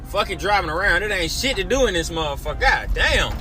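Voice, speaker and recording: Brash, swearing man in a car, high and animated, comic rant over music. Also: fast. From Waterloo, Iowa. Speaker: man ranting in a clip. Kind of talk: profane comedic rant. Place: in a car, driving around.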